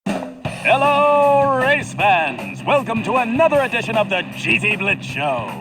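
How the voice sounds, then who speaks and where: A man's voice: very high voice, very animated, measured pace, music in the background, full character voice, low-quality recording. A man voicing a slick, greedy racing show host in a video game, in a showy American announcer style. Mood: hyped, gleeful. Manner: showy, hyped announcer delivery. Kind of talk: animated video game cutscene acting.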